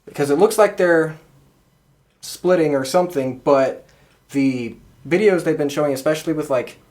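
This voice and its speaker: A man's voice: on the high side, moderate pace, a little mellow. A man co-hosting a podcast, talking in a casual, conversational way. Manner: informal, conversational. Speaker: podcast co-host. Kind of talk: casual chat.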